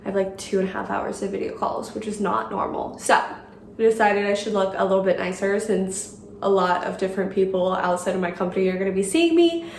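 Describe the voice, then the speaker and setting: A woman's voice: medium pitch, a little fast, creaky, a little mellow. A young woman vlogging casually, chatty and upbeat, sounding congested from a cold. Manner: chatty, upbeat, lots of "like" fillers. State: congested, stuffy nose. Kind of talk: casual vlog chat to camera.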